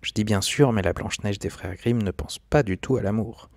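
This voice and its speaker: A man's voice: fairly low pitch, normal speed, a little mellow, no room sound. A man giving scripted, documentary-style narration as a video essayist.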